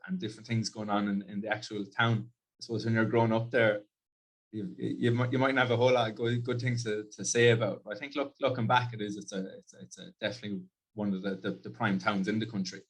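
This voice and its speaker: A man's voice: lowish voice, flat delivery, fast talker, poor audio. A man with an Irish accent, speaking as a company chief in a casual interview, often repeating words as he goes. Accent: Irish Midlands. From Ireland. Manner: frequent word repeats, casual phrasing. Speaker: company CEO guest. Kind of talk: relaxed interview chat. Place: video call.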